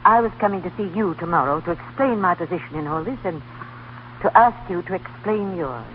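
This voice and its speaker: A woman's voice: fairly low pitch, lively intonation, brisk, close to an everyday voice. An actress playing a stern, dignified elderly grandmother in a 1930s radio drama, imperious and brusque. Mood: grave, firm. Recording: muffled, faintly noisy 1930s radio broadcast.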